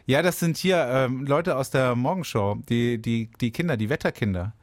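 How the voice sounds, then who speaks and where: A man's voice: mid-range voice, normal speed, no echo, clean studio sound. A man co-hosting a radio podcast, joking and playfully dramatizing. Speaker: radio host. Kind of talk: casual podcast chat. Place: studio. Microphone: studio mic.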